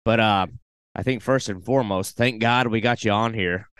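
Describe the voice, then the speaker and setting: A man's voice: on the low side, moderate pace, a bit bright, clear, resonant tone, no echo, studio quality. A man hosting a fishing podcast, reading an eager intro and then chatting casually with his guest. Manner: enthusiastic, conversational. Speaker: podcast host. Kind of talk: scripted intro, then casual interview chat.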